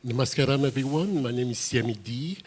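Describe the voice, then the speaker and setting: A man who grew up in France and Belgium, a musician on a panel, telling his story in an earnest, animated way with halting restarts. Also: mid-range voice, slight background noise.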